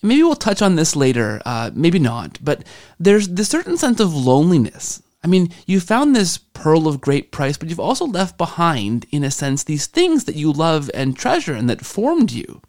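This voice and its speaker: A man's voice: on the high side, wildly swooping pitch, moderate pace, darkish tone. A man hosting an interview podcast, speaking in an earnest, enthusiastic way. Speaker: podcast host. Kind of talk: podcast introduction and interview talk.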